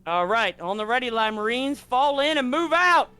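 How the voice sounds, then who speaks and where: A man playing a gruff, profane Marine commander briefing a squad in a tabletop game, blunt and commanding. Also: very high voice, very animated, moderate pace, pushed and bright, voice pushed up, full character voice. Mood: barking orders.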